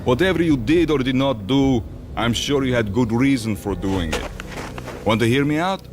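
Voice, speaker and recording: An actor playing a sly arms-dealing mobster in a game, talking with wry, showy gangster bravado. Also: male voice, medium pitch, expressive, normal speed, background noise, natural voice. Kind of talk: game cutscene dialogue. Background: music.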